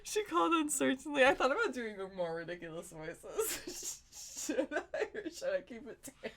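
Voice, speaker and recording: A woman's voice: sits high, very animated, normal speed, fairly bright tone, slight background noise. A woman hosting a podcast, chatting casually and reading fan fiction aloud, prone to breaking into giggles. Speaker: podcast host.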